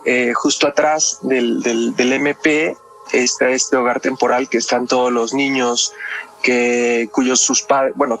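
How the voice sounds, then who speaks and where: A man's voice: medium pitch, creaky, a bit bright. A man with a Mexican accent explaining things conversationally as a podcast guest, often ending phrases with questioning tags.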